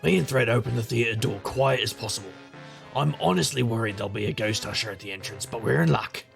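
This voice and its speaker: An actor playing a jaded, wisecracking paranormal investigator, narrating an audio drama in a wry, rambling, noir style. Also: male voice, on the low side, a bit bright. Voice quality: gravelly. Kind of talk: scripted noir-style first-person narration.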